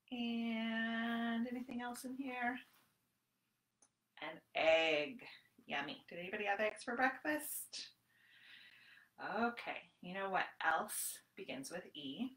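Bright-voiced woman leading children's storytime. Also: fairly high pitch. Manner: sing-song, encouraging, addressing small children. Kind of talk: children's storytime, talking to young viewers. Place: small room at home, indoors. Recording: roomy echo on home webcam recording.

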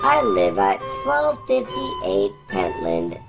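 E.T., gravelly swooping alien storyteller. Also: male voice, huge pitch swings, heavily acted. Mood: halting storytelling. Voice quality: croaky, gravelly, breaking into squeaks. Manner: slow, halting, childlike storytelling with swooping pitch. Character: E.T., small gentle wide-eyed alien reading a storybook. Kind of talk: storybook reading in a character voice. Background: instrumental music.

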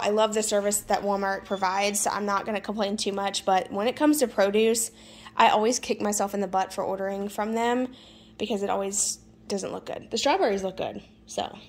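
Lady in her 30s doing a grocery haul, kinda high voice, talks to y'all, giggles a lot. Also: slight background noise. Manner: chatty, laughs often, talks to viewers as y'all. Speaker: vlogger. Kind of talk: casual grocery haul chat. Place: home kitchen.

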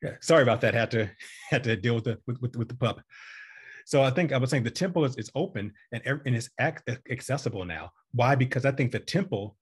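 A young man speaks over a webcam call in an earnest, explaining way, often repeating words as he goes. His voice is very husky and rough, and it sits at an ordinary middle pitch. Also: lively intonation, a little fast. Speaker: Bible teacher in discussion. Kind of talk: casual video call discussion. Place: indoors with a virtual background. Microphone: video call webcam.

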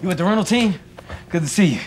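An actor playing a bumbling man mistaken for a hitman, bluffing and fast-talking, swinging to loud threats. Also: sits high, animated, slight background noise. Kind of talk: film comedy acting. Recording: film audio under music.

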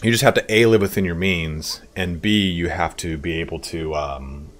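A man in his thirties, a YouTube streamer chatting live with viewers while playing a strategy game, loud, excitable and profane. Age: 30s. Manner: loud, excitable and profane, quick swings in pitch.

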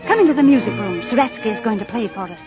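An actress playing a gracious, chatty upper-class society hostess, polished and sociable, in 1940s radio drama style. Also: female voice, fairly high pitch, lively intonation, very fast. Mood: brisk, teasing. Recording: muffled old radio recording over music.

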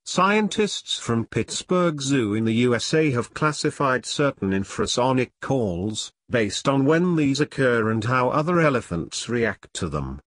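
A man giving a clear, measured read-aloud of a scripted practice text. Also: mid-range voice, big pitch swings, no echo. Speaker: exam sample reader.

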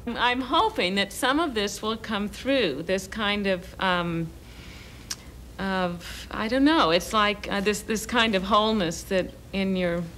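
A woman's voice: mid-range voice, slow pace, fairly bright tone. A middle-aged woman, a photographer, talking informally on a shoot and searching a little for words. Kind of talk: casual directing of a photo shoot. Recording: faint location noise. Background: ambient noise.

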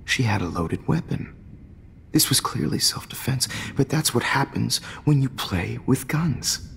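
An American man acting a smug, menacing, pretentious photography teacher turned captor in a video game, speaking with deliberate dramatic pauses. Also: medium pitch, lively intonation, creaky, a bit bright. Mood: taunting. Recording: long echoey reverb, faint hiss.

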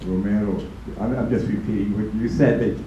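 A man's voice: fairly low pitch, fairly even intonation, brisk, warm tone, slight background noise. An older man, a priest, standing in an audience and speaking earnestly into a handheld mic, stumbling over repeated words. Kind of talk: audience comment at Q&A. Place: large conference hall. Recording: dull echoey hall.

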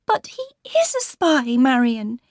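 A frightened young gentlewoman played by a woman narrator, high and emotional. Her indignant, distressed speech swoops around in an audiobook drama. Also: very animated, takes it slowly, no echo, studio quality, full character voice. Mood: anxious, urgent. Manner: distressed, exclamatory.

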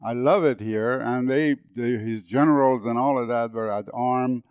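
A man's voice: mid-range voice, moderate pace, smooth, no room sound, muffled recording. Iranian-accented man in his seventies. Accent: Persian. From northern Iran. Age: 70s. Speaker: guest, agricultural engineer. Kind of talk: podcast interview.

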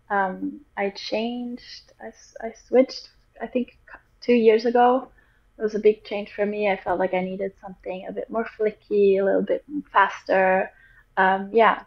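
A young woman from Portugal speaks into a laptop mic from a small room, soft-spoken and a little hesitant, pausing often on fillers. Her pitch stays flat and even. The call audio is dull and lo-fi. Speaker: guest, pro surfer. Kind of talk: casual interview over video call. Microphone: laptop or webcam mic on a video call.

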